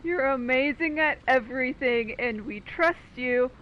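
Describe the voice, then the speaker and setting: A woman's voice: high-pitched, unhurried, slight background noise. A woman giving casual, joking commentary as she plays a video game, quippy and sarcastic. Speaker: game streamer commenting on play. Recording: dull mic with game music behind.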